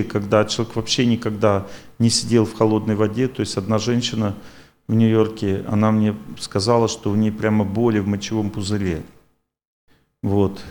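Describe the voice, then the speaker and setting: A man's voice: lowish voice, a little mellow. Self-assured, rambling male lecturer. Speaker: lecturer answering audience questions. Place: lecture hall with audience.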